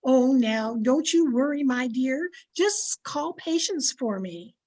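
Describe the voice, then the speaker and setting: Woman playing a husky, high-voiced meddling old aunt. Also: lively intonation, takes it slowly, a little mellow, exaggerated voice. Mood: breezy, reassuring. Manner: coaxing, reassuring, genteel. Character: kindly, meddling older aunt playing matchmaker. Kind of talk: old-time radio comedy-drama acting. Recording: video-call radio play recording.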